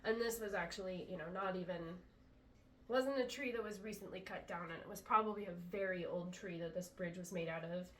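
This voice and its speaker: A woman's voice: fairly low pitch, fairly quick, far from the mic, a little hiss or hum. A woman demonstrating handmade flutes in a casual, chatty way, sounding a little congested after a cold. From US Pacific Northwest. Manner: chatty, relaxed, many ums. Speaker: flute maker showing her products. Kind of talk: casual product demo. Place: indoor room, talking to camera. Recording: echoey room, voice from off-mic.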